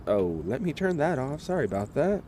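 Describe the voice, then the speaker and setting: A man casting a live esports match, relaxed and chatty, talking straight to viewers while getting over a slight cold. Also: a little high, brisk. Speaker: esports caster.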